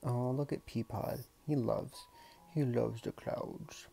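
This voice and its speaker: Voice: medium pitch, slow pace, gravelly, mellow, faint music behind. A storyteller reading a picture book aloud in a casual, playful way, with chatty murmured asides.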